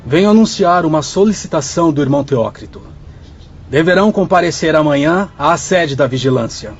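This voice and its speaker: An actor playing a polite messenger in a radio drama, announcing a summons in formal language. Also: male voice, on the high side, animated, fairly quick, highs cut off, faint music behind, natural voice. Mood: formal, brisk.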